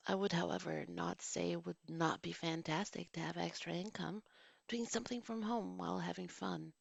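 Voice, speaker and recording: Woman in her forties, very gravelly voice, husky tone, dry dull lo-fi home recording, formal reading mixed with rambling asides. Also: fairly low pitch, fairly even intonation, moderate pace, no echo. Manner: digressive, reads formal sentences then drifts into casual remarks. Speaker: vlogger and teacher. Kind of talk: reading a written movie review with chatty asides.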